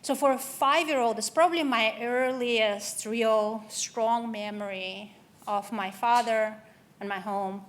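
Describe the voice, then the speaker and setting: A woman's voice: fairly high pitch, slow. A woman from Russia giving a personal, earnest conference keynote, with frequent ums and restarts. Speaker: keynote speaker. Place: event hall.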